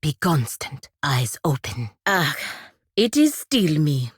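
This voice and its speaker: A proud woman paladin from a fantasy video game, curt and sardonic. Her delivery is unhurried yet clipped and commanding, swinging widely in pitch. The sound is close and dry, with no room around it. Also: big pitch swings, breathy edge, no room sound, full character voice. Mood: dry, wary. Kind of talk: video game character voice lines and battle barks.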